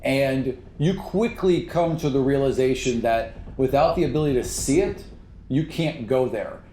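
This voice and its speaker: A man's voice: medium pitch, moderate pace, mellow. A man running a tabletop game as game master, narrating and replying casually. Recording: echoey room, clear background noise.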